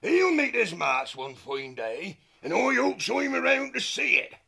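A man voicing a huge, fat, boisterous male otter who bellows in a rough rustic dialect. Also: very high-pitched, sing-song, unhurried, pushed and bright, pitched above the performer's own voice, heavily acted. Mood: hearty. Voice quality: gravelly, hearty bellowing.

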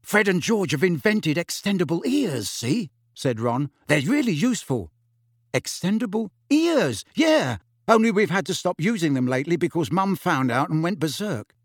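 A man's voice: a little high, dry recording, studio quality, pitched above the performer's own voice. A man voicing an eager, chatty teenage boy sharing gossip.

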